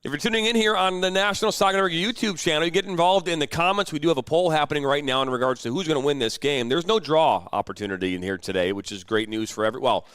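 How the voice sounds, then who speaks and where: A man's voice: a little high, fast, hardly any pauses, a bit bright, clear, resonant tone, no room sound, studio quality. A man doing live play-by-play commentary on a youth soccer match, chatty and joking between calls. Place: outdoor soccer field broadcast.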